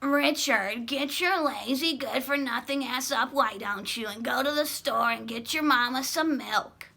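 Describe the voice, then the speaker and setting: A gravelly, shrill old mother. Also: a little slow, pushed and bright, exaggerated voice. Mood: scolding. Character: scornful obese older woman, lazy mother.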